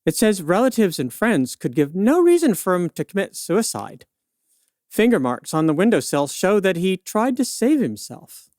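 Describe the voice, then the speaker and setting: A woman's voice: medium pitch, very animated, normal speed, darkish tone, no echo, studio quality, natural voice. A woman playing a time-traveling news reporter in a radio play, speaking in a knowing, matter-of-fact, wry way.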